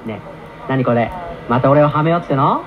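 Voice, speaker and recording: A man's voice: mid-range voice, brisk, lightly acted. An actor playing a flustered, cheeky teenage boy on trial in a radio drama. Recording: muffled AM radio recording.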